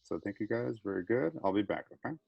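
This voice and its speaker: A man's voice: lowish voice, lively intonation, fast, creaky, highs cut off, noisy background. A man teacher leading a small online class discussion, encouraging students and checking in often. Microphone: video call.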